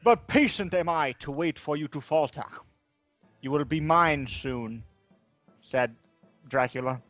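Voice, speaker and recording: A man reading out a brooding, mysterious monologue in a slow, dramatic manner. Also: on the high side, fairly bright tone, dry recording, faint music behind. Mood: ominous.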